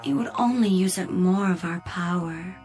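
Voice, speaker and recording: An actress playing a polite, solicitous spaceship computer in a sci-fi radio drama, measured and literal-minded with a needling edge. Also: female voice, lowish voice, darkish tone, faint music behind, close to an everyday voice. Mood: polite concern.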